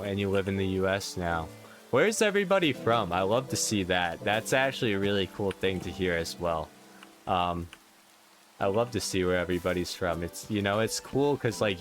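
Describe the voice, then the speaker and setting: A young man with a New York accent chatting casually on a live stream, rambling and playful, reading out viewer comments. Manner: rambling, self-interrupting, playful, jumps up in pitch for excited questions. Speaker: Minecraft streamer.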